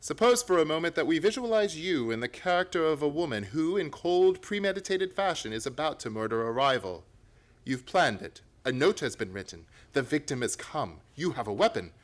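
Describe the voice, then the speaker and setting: A man playing a confident, analytical gentleman detective in a radio play, reasoning aloud in an emphatic, theatrical way. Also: a little high, big pitch swings, a little fast. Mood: emphatic, incredulous.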